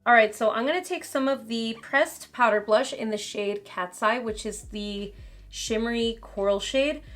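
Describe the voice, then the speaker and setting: A young woman with a slightly raspy voice, chatting casually as she tries on and reviews makeup at home. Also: fairly high pitch, unhurried, a bit bright, faint music behind. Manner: chatty, casual commentary while applying makeup. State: raspy voice from talking too much. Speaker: beauty vlogger. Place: home beauty room, indoors.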